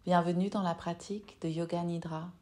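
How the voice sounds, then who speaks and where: Woman, lowish pitch, dark relaxed voice, soft delivery, unhurried, soothing meditation guide. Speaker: yoga nidra teacher.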